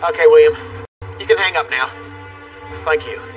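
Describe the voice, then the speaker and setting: An actor playing a male control tower officer who gives calm, steady instructions, heard from a distant mic with music behind. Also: a little high, normal speed, highs cut off, natural voice. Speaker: reenactment actor.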